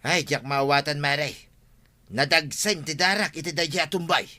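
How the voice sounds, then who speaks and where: A troubled, stubborn village man in a comedy radio drama. His voice is very sharp and forceful, a little high, and full of grumbles and exclamations. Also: normal speed. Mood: doubtful, uneasy.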